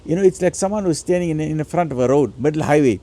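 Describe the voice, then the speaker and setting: A man with a raspy voice giving a motivational religious lecture, urging listeners emphatically and repeating phrases for stress. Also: a little high, quick pace, dark tone. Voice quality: raspy, gravelly.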